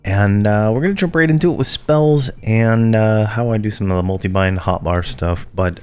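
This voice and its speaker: A man's voice: on the low side, moderate pace, darkish tone, clear, resonant tone, faint music behind. A man losing his voice, talking casually and rambling through a game walkthrough with many fillers and restarts. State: losing his voice, a bit rough. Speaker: game guide creator.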